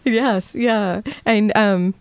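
Woman host with a mid-pitched voice, dry close sound, chatty and friendly. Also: fairly even intonation, very slow, no echo. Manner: friendly, chatty, often laughs. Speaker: interviewer. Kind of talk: casual interview conversation.

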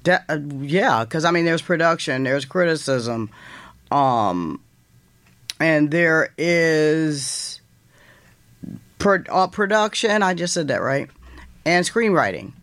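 A woman in her fifties with a deep voice, a guest author telling stories from her student days in an animated way. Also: takes it slowly, pauses often, no room sound. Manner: animated, quotes others in lively voices, laughs while telling. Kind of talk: casual storytelling interview.

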